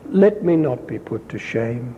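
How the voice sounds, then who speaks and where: A man reading scripture aloud in a measured, deliberate way, pausing to explain points to his listeners as a Bible teacher. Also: medium pitch, lively intonation, dark tone, big-room sound, muffled recording, a little hiss or hum. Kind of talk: slow scripture reading with brief commentary.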